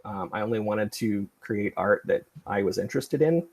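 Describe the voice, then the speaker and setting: Middle-aged male livestream host, husky with vocal fry, digressive and self-effacing. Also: lowish voice, expressive, normal speed, darkish tone. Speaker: podcast creator and livestream host. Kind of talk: casual livestream chat.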